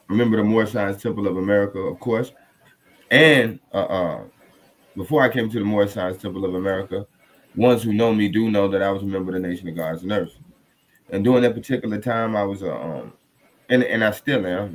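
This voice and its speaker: A man hosting a podcast, speaking in a halting, courteous and reverent conversational way. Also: fairly low pitch. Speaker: podcast host. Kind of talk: conversational podcast introduction and discussion. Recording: noticeable background noise.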